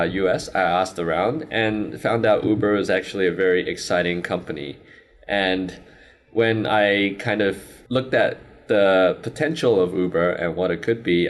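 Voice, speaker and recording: A young man from China and Hong Kong answering interview questions conversationally, pausing mid-sentence to find his words. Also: low voice. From born in China, early childhood in Hong Kong, then US. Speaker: interview guest, business expansion manager. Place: sit-down interview in Shenzhen.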